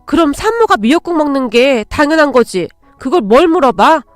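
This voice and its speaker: A woman's voice: high voice, sing-song, moderate pace, no room sound, soft background music. A woman voicing a resentful, wounded young new mother in a dramatized story, with an emotional delivery. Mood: indignant.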